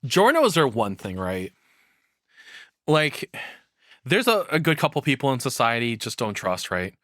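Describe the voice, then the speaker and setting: A man's voice: mid-range voice, sing-song, measured pace, a bit bright, no echo, studio quality. A man giving casual reaction commentary as a streamer, rambling along and swearing freely.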